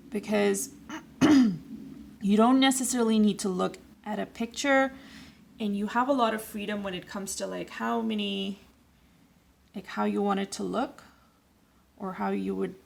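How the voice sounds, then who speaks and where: A woman with a raspy voice today, giving loose, rambling, self-correcting instructions in a casual watercolour tutorial. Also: medium pitch. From Canada. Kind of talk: casual painting tutorial voice-over.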